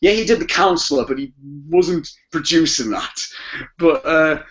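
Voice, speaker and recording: A man's voice: on the high side, expressive, normal speed, a bit bright. A man chatting casually about films as a podcast guest, rambling and often rephrasing himself. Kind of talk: casual film discussion. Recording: dull lo-fi audio.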